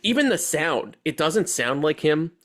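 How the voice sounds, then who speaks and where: A man hosting a movie podcast, chatting casually and giving animated opinions with slang and swearing. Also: a little high, unhurried. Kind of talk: casual chat, film discussion.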